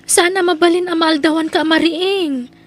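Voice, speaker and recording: A woman's voice: very high voice, fairly even intonation, moderate pace. A woman with an Ilocano accent playing a chatty, advising female friend in an audio drama. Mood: concerned, advising.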